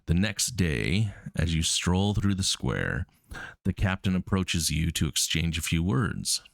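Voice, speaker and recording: A man's voice: low voice, unhurried, gravelly, dry recording. A man with a cold and a cough drop in his mouth, casually reading puzzle text and thinking aloud. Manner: casual, relaxed, many ums. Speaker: coding streamer.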